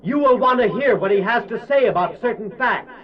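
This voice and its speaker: An actor playing a prophet's dutiful young son, formally addressing a crowd in a Bible radio drama. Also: male voice, very high-pitched, animated, a little fast. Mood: formal, calling out. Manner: formal, announcing. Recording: muffled old tape, faint hiss.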